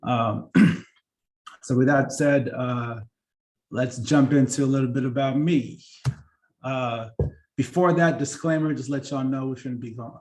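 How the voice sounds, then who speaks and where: A man's voice: mid-range voice, unhurried. Man, 30s, workshop presenter; casual, chatty, filler-heavy delivery. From born in Kenya, grew up in Seattle. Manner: relaxed and chatty, many fillers and restarts. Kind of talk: casual career talk to students.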